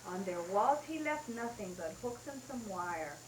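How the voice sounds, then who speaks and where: A woman's voice: medium pitch, animated, a little slow, reverberant, a little hiss or hum, poor audio. A mother reading a rhyming bedtime story aloud in a sing-song way, taped at home.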